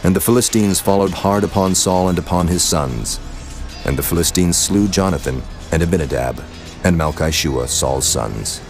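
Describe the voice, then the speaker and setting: Deep-voiced solemn male scripture narrator. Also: moderate pace, darkish tone, faint music behind.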